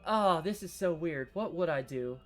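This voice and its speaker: A man's voice: fairly high pitch, lively intonation, normal speed, faint music behind. A man hosting a gaming video, reading a visual novel aloud, musing over choices, talking to viewers and swearing casually. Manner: reads story text, then muses aloud and swears casually; talks to viewers while deciding.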